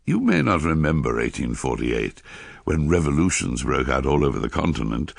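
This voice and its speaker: A man's voice: rumbling low, gravelly, no room sound, clean studio sound, exaggerated voice. An actor playing a shameless old Victorian soldier with an English accent, recounting his memoirs in a roguish, boastful, cynical way in a radio drama. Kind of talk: dramatised first-person memoir narration.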